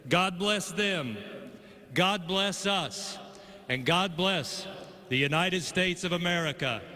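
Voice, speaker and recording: A man's voice: sits high, a crowd in the background. Solemn man praying in a stadium. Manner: solemn, measured, slows on the blessing. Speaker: chaplain giving invocation. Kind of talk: public prayer. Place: large stadium over loudspeakers. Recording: long stadium reverb.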